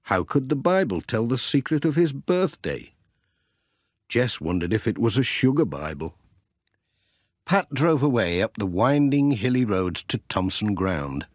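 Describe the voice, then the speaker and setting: Male children's audiobook narrator; lively intonation, slightly husky tone, close dry recording. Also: medium pitch, a little slow, no room sound. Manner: expressive storytelling.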